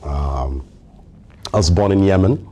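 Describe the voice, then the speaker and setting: A man's voice: low voice, a little slow, dark tone. A man from Somalia, a native Somali speaker, telling his life story as a podcast guest, with many fillers and easy laughs. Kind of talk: casual interview conversation.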